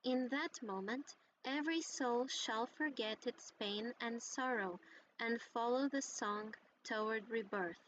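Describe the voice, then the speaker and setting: Serene game ritual guide: slow, fairly high, tender poetic recitation over faint ambience. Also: female voice, fairly bright tone, slight background noise, low-quality recording. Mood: tender, solemn. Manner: solemn, tender, measured. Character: serene female ritual guide blessing departing souls.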